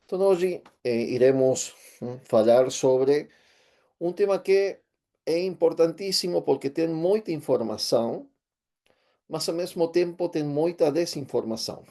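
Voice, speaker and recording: A man with an Argentine Spanish accent, a native Spanish speaker, giving an online lecture in a hesitant way with many fillers and pauses. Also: fairly high pitch, dark tone. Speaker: teacher and therapist. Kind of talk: online lecture with shared screen.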